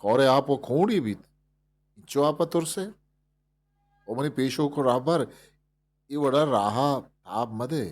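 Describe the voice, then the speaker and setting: A man's voice: medium pitch, expressive, slow pace, long pauses, darkish tone. A man with a Balochi accent voicing a big, wise camel in a storybook fable, taunting and lecturing. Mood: mocking. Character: stern mocking male camel, big and wise. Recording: music behind the voice.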